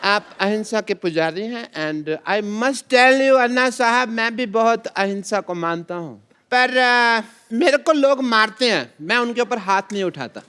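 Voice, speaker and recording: A man's voice: high voice, lively intonation, unhurried, clear, resonant tone, full character voice. An actor playing a bumbling, boastful comic doctor in a live TV sketch, theatrical and mixing English phrases into his talk. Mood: flattering, boastful. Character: bumbling, boastful comic male doctor, self-styled social worker. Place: TV studio stage with live audience. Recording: noisy studio with loud audience.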